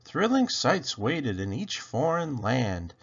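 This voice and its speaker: Slow, swooping male storybook reader. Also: mid-range voice, huge pitch swings, slightly rough, dull audio. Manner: theatrical, deliberate phrasing. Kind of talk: expressive rhyming picture-book read-aloud.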